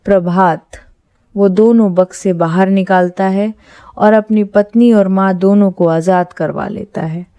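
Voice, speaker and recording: Mellow woman narrating a horror story. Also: mid-range voice, fairly even intonation, measured pace, clean tone, no echo.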